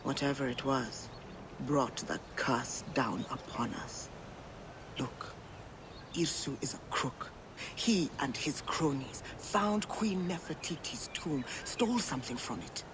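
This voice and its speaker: A woman voicing a brash, cynical gambler in a video game, mocking and quick-tongued. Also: extremely low pitch, background noise. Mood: wry.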